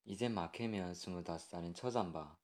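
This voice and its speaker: A man's voice: brisk, natural voice. A man reading aloud a young woman's letter in a Yanbian Korean accent. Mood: earnest. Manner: reading a listener's letter aloud, soft and low. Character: woman letter writer in her twenties.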